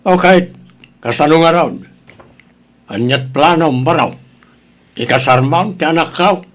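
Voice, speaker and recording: A man's voice: a little high, measured pace, a bit bright. A man playing a stern, exasperated middle-aged father in an Ilocano audio drama, blunt and questioning, with an Ilocano accent. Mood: grudging, resigned. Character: stern, exasperated father grilling his daughter's boyfriend.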